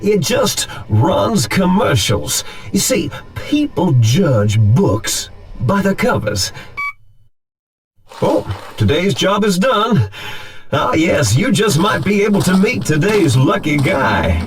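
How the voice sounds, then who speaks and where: A man voicing a smug, genial and grandiose corporate founder in an animated game cutscene, theatrical in delivery. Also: high voice, slow pace, slight background noise, exaggerated voice. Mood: smug, welcoming.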